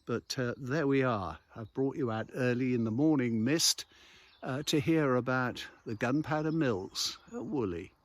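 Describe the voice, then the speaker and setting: A man's voice: medium pitch, measured pace. A man narrating an unscripted local history walk outdoors in a conversational, explanatory storytelling way. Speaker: local history walking presenter. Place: outdoors on a misty hillside road.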